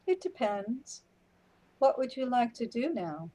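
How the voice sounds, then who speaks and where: A woman plays a wry, weary female companion in a sci-fi radio drama. Her voice sits fairly high, and she takes her lines slowly and deliberately. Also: sing-song, warm tone. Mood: wry, unruffled. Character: unhurried, matter-of-fact companion, wry and weary. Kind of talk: radio play acting.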